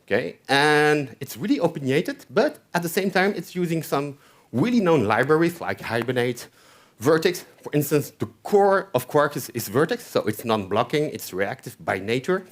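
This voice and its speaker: A man's voice: fairly high pitch, sing-song, normal speed. A man with a French accent giving a chatty conference tech talk, often checking in with the audience with "okay?". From France. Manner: chatty, keeps asking "okay?". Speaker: conference speaker. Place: conference hall stage.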